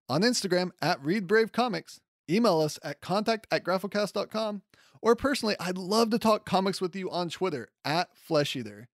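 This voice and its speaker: A man's voice: high voice, no echo, studio quality. A man hosting a solo podcast, talking in a chatty, enthusiastic and casual way. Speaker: podcast host.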